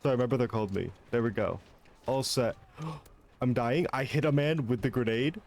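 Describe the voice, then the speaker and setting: A man's voice: mid-range voice, moderate pace, darkish tone, a little hiss or hum. A young man giving casual, self-mocking commentary while streaming a shooter game, swearing and laughing between shots.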